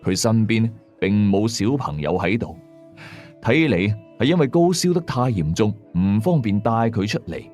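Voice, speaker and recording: Guy with cantonese accent telling a ghost story radio style, really animated intonation, music low behind him. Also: moderate pace. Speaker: storyteller, narrator. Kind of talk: scripted first-person horror narration. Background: faint music.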